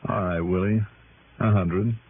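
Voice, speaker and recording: A man's voice: sits low, a little hiss or hum. A man playing a wry, world-weary, hard-boiled investigator narrating his case in an early 1960s radio drama. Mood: probing. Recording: muffled old radio broadcast, music.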